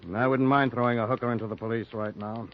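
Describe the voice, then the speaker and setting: A man playing a businesslike city editor in a radio noir. His voice is mid-pitched and measured, on a slightly muffled vintage recording. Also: steady tone, lightly acted. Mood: brisk, measured. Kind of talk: 1940s American radio noir acting. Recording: dull 1940s radio recording.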